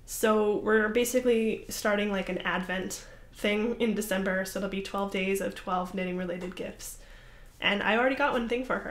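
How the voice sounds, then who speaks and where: A young woman hosting a crafting podcast, chatting casually to the camera in a rambling, apologetic way with lots of fillers. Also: mid-range voice. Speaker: podcast host. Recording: roomy echoey room.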